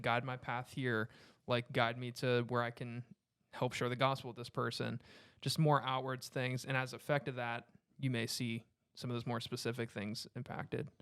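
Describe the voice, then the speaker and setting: Clear, even-toned man, close and dry. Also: medium pitch, no room sound. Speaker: discussion participant. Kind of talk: casual chat about faith.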